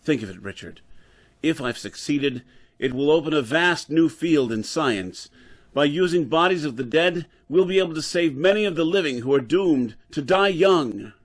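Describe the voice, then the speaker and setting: A man's voice: on the high side, expressive, moderate pace. Grave, driven scientist professor, played by a man. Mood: earnest, driven. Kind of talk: old-time radio drama acting.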